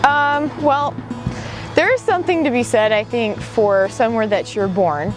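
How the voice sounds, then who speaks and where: A woman's voice: fairly high pitch, normal speed, low-quality recording. A woman from Utah answering interview questions in a thoughtful way with um fillers. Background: background music.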